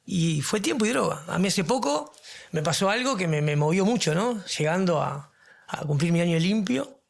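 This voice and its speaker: A man's voice: high-pitched, expressive, moderate pace, gravelly. A middle-aged man, a podcast guest giving earnest testimony and searching for his words. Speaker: guest in recovery. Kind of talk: podcast interview, personal testimony.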